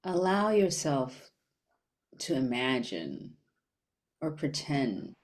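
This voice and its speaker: A woman leading a guided meditation in a gentle, unhurried manner with long pauses. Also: a bass voice, big pitch swings.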